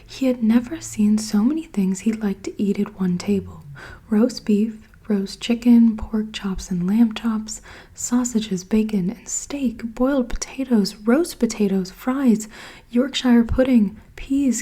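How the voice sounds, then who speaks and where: A woman reading a storybook aloud in a soft whisper. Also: a little high, a little slow, husky. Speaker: reader.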